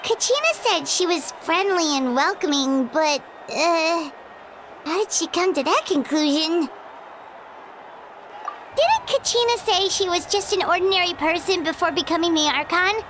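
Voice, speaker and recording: Squeaky, childlike little fairy girl. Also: expressive, a little slow, background noise. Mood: puzzled, doubtful. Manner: squeaky, exclaiming, refers to self in third person. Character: tiny excitable floating fairy companion girl, childlike and chatty. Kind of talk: video game voice acting.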